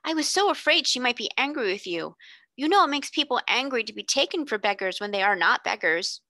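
A woman's voice: on the high side, lively intonation, brisk, sharp tone, dry recording, pitched above the performer's own voice. A woman voicing a sensible, knowing young girl who speaks decidedly in a storybook reading. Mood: worried. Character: sensible older sister, a rich girl.

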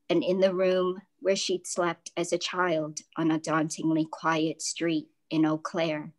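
Slow, low-voiced woman on a video call. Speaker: author. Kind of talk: literary reading and casual discussion. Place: home room with floral wallpaper.

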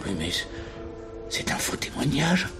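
An actor playing a smitten, respectable wealthy bachelor in a French radio play, earnest and hesitant with a slight stammer. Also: male voice, medium pitch, very animated, unhurried, over music. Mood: nervous, hesitant.

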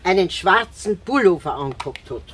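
An actress in a radio crime play playing an elderly Viennese housewife, a rambling, wavering yet insistent witness speaking in dialect. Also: female voice, on the low side, animated, moderate pace, natural voice. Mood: unsure. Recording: crowd of bystanders behind.

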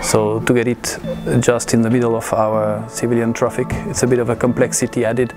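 Even-toned man, halting delivery. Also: medium pitch. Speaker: head of air traffic control. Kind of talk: interview answers. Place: busy air traffic control room. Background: background music.

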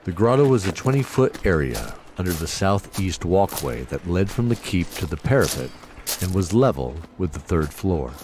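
Low, slow male storyteller. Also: darkish tone, a little hiss or hum. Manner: measured, dramatic. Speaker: narrator. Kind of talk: scripted fantasy story narration.

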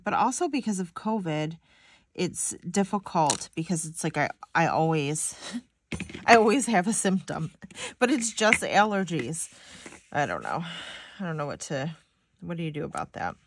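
A woman's voice: deep voice, very animated, a little slow, a little hiss or hum. A young woman with a slightly stuffy, nasal voice, rambling casually to viewers while decorating a planner. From Michigan. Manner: rambling, chatty, many "like"s, talks to viewers. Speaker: planner vlogger.

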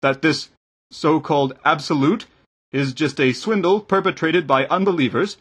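Guy doing a nervous fawning priest in a storybook, husky, stutters, scared of his boss. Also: mid-range voice, moderate pace, natural voice. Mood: nervous reading. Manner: hesitant, stammering, tremulous. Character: timid, flustered male priest, cowed and fawning.

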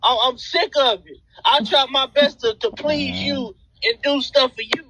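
A man's voice: extremely high pitch, normal speed, sharp tone, slight background noise, poor audio. A young American man crying and pleading in a whiny, upset way into a phone held close. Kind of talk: emotional pleading on a phone call.

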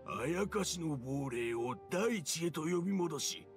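A man's voice: a little high, expressive, a little slow, creaky, soft background music. A man playing an ancient, mischievous male fox spirit in a video game, with old-fashioned, theatrical elderly speech. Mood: gleefully villainous. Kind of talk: Japanese video game acting.

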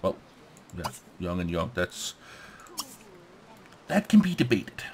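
A man giving casual let's play commentary, haltingly thinking aloud and reading bits of game text. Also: sits low, very animated, a little hiss or hum. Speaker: gaming let's play host. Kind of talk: casual gameplay commentary, muttering to himself.

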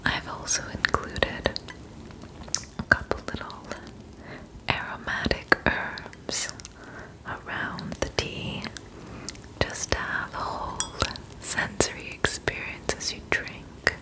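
Woman acting as a kindly young witch, ASMR roleplay, breathy whisper, slow pace, soothing, close-miked. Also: natural voice, poor audio. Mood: soothing, reassuring. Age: 20s. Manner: slow, gentle, reassuring. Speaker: ASMR performer. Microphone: close microphone.

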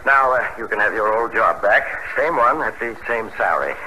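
An actor playing a hesitant, forgiving office boss in an old radio drama, speaking haltingly. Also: male voice, lowish voice, expressive, pushed and bright. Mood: businesslike. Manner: halting, hedging. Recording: noisy vintage radio recording.

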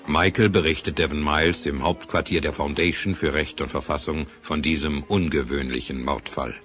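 Narrator for action audio drama: a grave, very deep-voiced storyteller over music. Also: male voice, steady tone, moderate pace, a bit bright. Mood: grave, dramatic.

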